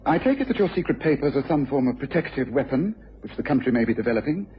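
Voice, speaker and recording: An actor playing a shrewd, commanding gentleman detective in a radio drama, speaking formally and incisively. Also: medium pitch, big pitch swings, lightly acted. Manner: brisk, crisp, formal, incisive. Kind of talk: old-time radio drama acting. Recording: noisy muffled old radio recording.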